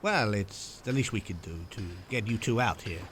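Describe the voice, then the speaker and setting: An actor playing a theatrical, self-important 1940s Hollywood film director in an audio drama, with a breezy, wry manner. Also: male voice, fairly low pitch, lively intonation, normal speed, a bit bright, a little hiss or hum. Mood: distracted, insistent.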